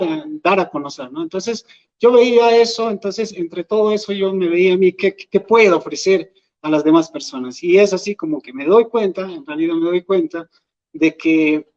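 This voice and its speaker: A man from Peru, a Quechua speaker, giving an earnest, halting talk as an invited speaker at an event. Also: sits high. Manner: earnest, halting, thinks aloud. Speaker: invited speaker, language educator. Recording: dull lo-fi event recording.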